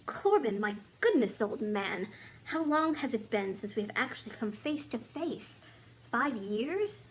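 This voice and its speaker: An earnest, wise and loyal woman of a storybook kingdom, voiced by a female reader. She speaks in measured phrases at a raised pitch, with faint noise behind her. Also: sing-song, rough recording. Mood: glad. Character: loyal, determined woman, wise old friend of a king. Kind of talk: storybook character dialogue.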